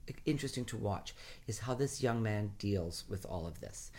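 A man's voice: lowish voice, expressive, normal speed, darkish tone. Emphatic man in casual talk. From Rhode Island. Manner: emphatic, repeats phrases while building a point. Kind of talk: casual conversation.

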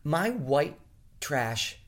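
A woman from Rhode Island, talking politics in a blunt, animated way and swearing freely. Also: deep voice, drawn-out words. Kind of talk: casual political chat.

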